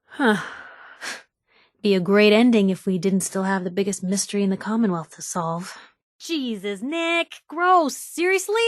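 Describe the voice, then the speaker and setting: A woman's voice: medium pitch, dry recording, clean studio sound, natural voice. An actress playing a spirited, nosy young woman reporter in a video game, talking in a wry, inquisitive, punchy and colloquial way. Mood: wry, earnest, then disgusted. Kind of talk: video game companion dialogue.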